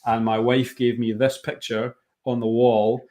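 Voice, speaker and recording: A man's voice: mid-range voice, little pitch movement, measured pace, warm tone. A man hosting a podcast in casual chat, friendly, informal and complimentary toward his guest. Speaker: podcast host.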